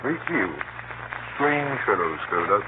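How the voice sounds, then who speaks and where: An actor playing a hearty, shrewd senior official in a 1930s radio drama. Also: male voice, on the low side, animated, a little slow, a crowd in the background. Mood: shrewd, businesslike. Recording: scratchy, tinny 1930s radio broadcast.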